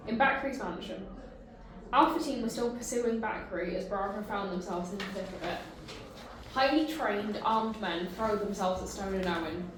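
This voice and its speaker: A woman's voice: mid-range voice, moderate pace, very echoey, background noise. A woman reading narration and stage directions at a table read.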